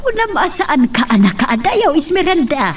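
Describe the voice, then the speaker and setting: A woman's voice: high-pitched, very animated, moderate pace. An actress playing a worried mother who talks anxiously to her daughter. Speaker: actor. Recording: distant mic, faint noise.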